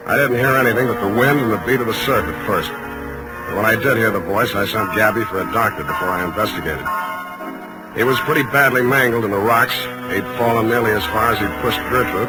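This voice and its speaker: An actor playing a blunt, tough, wisecracking private detective in terse 1940s radio drama style, on a noisy old recording. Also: male voice, on the low side, brisk, over loud music, close to an everyday voice. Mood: narrating grimly. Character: hard-boiled, blunt private detective, a grown man.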